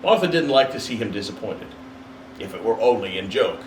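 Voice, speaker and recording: Man reading a story out loud, really animated narration, echoey room, little bit of background hiss. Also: lowish voice, a little fast. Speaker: story reader. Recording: roomy, distant mic, faint hiss.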